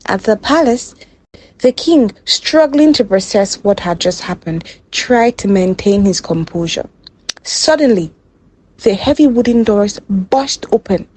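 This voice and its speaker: A woman storyteller reading a scripted folktale in a dramatic, measured way. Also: mid-range voice, expressive, a little mellow, husky, rough recording.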